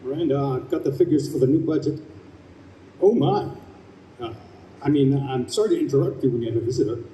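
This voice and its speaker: An actor playing a polite, eager young office assistant in an old-time radio style stage play. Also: male voice, mid-range voice, brisk, dark tone, natural voice. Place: convention room stage performance. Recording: distant echoey room, faint noise.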